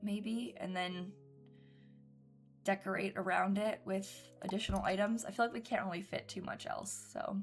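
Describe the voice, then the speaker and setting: A woman's voice: mid-range voice, fairly even intonation, normal speed, faint music behind. A young woman streaming a building game from home, sounding congested from a cold and thinking aloud with lots of ums and little giggles. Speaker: gaming streamer. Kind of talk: casual live-stream chat while building in a game.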